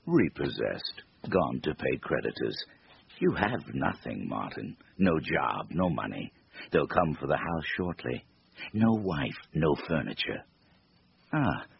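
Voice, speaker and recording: An actor playing a sinister, coolly taunting supernatural tempter with a gravelly voice in an audio drama. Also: male voice, a bass voice, big pitch swings, heavily acted. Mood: cold gloating. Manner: slow menacing taunting, mock-polite. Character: sinister male tempter granting dark bargains, coolly taunting. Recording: dull, lo-fi radio with hiss.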